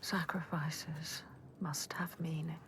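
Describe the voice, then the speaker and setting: A solemn, knowing spirit narrates a dark fantasy game. She whispers in a low register, measured and portentous. Clear ambient noise sits around her. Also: flat delivery. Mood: solemn, foreboding. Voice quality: breathy whisper. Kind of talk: dramatic video game narration.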